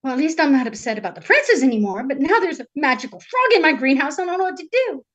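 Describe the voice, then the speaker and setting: A young fairy-tale princess, played by a woman, shy and anxious. Her high voice is fretful and plain-spoken, quick to protest and wary of being tricked. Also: sing-song, a bit bright, dull audio. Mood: worried. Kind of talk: storytelling character voice.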